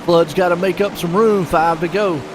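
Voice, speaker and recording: Casting note: chatty male sim race host, slightly high voice, loud engine noise behind him. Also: steady tone, moderate pace. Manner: chatty and folksy, jokes with his co-host. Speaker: race commentator and stream host. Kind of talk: casual sim racing commentary. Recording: noisy broadcast mix with engine noise.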